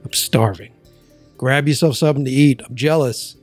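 A man's voice: mid-range voice, moderate pace, dry recording, faint music behind. A man from the US East Coast hosting a podcast, chatty and joking, swearing casually. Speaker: podcast host. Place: Los Angeles, remote call.

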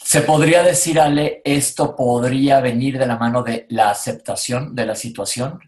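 A man's voice: mid-range voice, steady tone, normal speed, a little mellow. A man with a Mexican accent co-hosting a casual conversation, speaking in an informal, colloquial and relatable way. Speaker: co-host.

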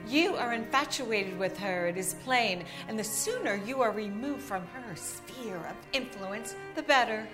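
A woman's voice: on the high side, expressive, unhurried, few pauses, music in the background. A woman playing a refined, taunting female ghost, a romantic rival in a comic period story. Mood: jealous, decisive.

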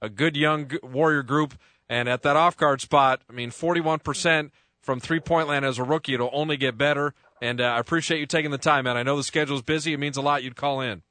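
A man's voice: mid-range voice, fairly even intonation, fairly quick, bright, forceful tone, no echo. A man hosting a sports radio interview in a studio, chatty and joking with lots of fillers.

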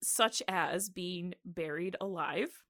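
A woman's voice: on the low side, takes it slowly, a bit bright, no echo. Casual woman podcaster, close dry sound. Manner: conversational, informal. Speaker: podcast co-host. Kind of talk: casual podcast chat with researched history narration.

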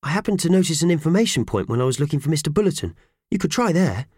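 A man puts on a little male mouse for a children's audiobook. The voice is raised and squeaky, earnest and fretful, with bouncy intonation. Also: very animated, very fast, darkish tone, no room sound, clean studio sound. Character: small eager, fretful male talking mouse.

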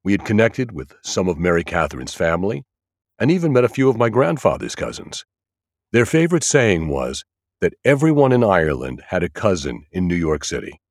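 Deep guy narrating a thriller audiobook, lots of up and down in his voice, really dry close sound. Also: very animated, fairly quick, no room sound. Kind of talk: scripted first-person thriller narration.

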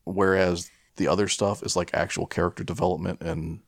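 A man's voice: sits low, level tone, brisk, gravelly, dry recording, clean studio sound. A man co-hosting a casual film chat, feeling his way through his opinions with hesitant phrasing and some swearing. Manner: hesitant, searching for words, casually profane. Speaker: podcast co-host reviewing a film.

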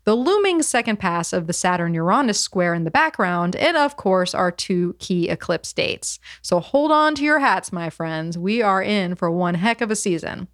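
A woman's voice: on the low side, animated, moderate pace, hardly any pauses, no room sound, studio quality. Upbeat chatty woman podcast host. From California. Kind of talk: podcast interview.

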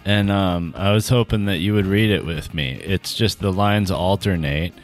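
A man with a fairly low voice chats casually as a comedy podcast co-host. His delivery is loose, joking banter, with some music playing behind him. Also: moderate pace.

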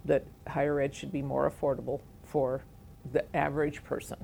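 A woman educator speaking earnestly and in a measured way in an interview about higher education. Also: flat delivery, gravelly, mellow, slight background noise. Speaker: educator guest. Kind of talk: conversational interview.

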